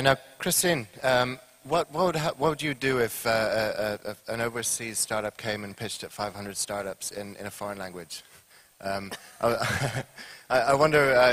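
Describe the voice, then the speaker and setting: A man moderates a panel in a lowish voice. He feels his way into each question, stumbling over fillers and repeated words. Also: fairly bright tone, poor audio. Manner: halting questions full of fillers and restarts.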